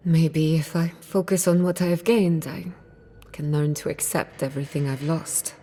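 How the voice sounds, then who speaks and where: An actress playing a bold, stubborn young outcast scholar in a video game, brisk and wry. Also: female voice, low-pitched, fairly even intonation, mellow. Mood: wistful. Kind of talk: video game cutscene dialogue. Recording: music behind the voice. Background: game music.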